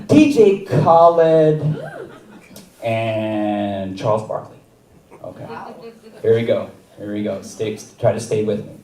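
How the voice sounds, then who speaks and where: A man doing stand-up on a theater stage. His delivery is slow and wry, and his pitch swings around a lot. He is heard from a distance through a stage mic in a roomy hall. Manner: wry, deadpan delivery with chuckles. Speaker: stand-up comedy student. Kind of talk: stand-up comedy set.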